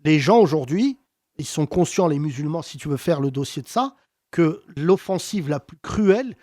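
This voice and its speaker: A man hosting a heated political debate, talking with passion and emphasis and wide swings in pitch. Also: expressive, normal speed, mellow, no room sound, clean studio sound. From France. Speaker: host.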